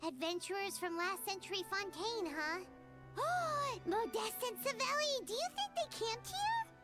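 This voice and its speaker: A tiny fairy sidekick girl from an animated game speaks in a very high, childlike voice. She is cute and boastful, with exaggerated, bouncy inflection over prominent music. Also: a little slow, exaggerated voice. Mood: curious, puzzled. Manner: cute, exaggerated, refers to herself in third person. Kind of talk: animated video game voice acting.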